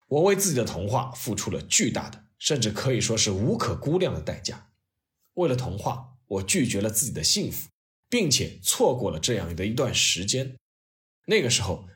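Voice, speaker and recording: A man hosting a history storytelling podcast, reading scripted narration in an expressive, reflective way with rhetorical questions. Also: medium pitch. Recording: music playing behind the voice. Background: soft piano and orchestral music.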